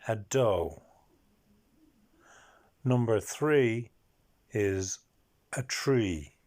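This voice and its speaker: A man's voice: fairly low pitch, expressive, very slow, darkish tone. A man with an Irish accent hosts a language-learning video, carefully explaining and repeating each number word.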